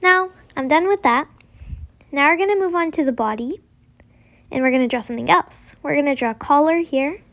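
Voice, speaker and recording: A woman's voice: high-pitched, a little fast, fairly bright tone. A young girl narrating a step-by-step drawing tutorial in a casual, simple way. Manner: simple, informal instruction with frequent "like".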